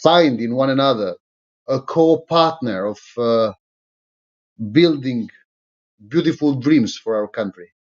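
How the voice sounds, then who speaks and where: A middle-aged man from Albania, a native Albanian speaker with an Albanian accent, addressing an online audience informally in a halting, deliberate way, repeating phrases for emphasis. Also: medium pitch, animated. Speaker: political movement leader. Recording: slightly dull, lo-fi sound.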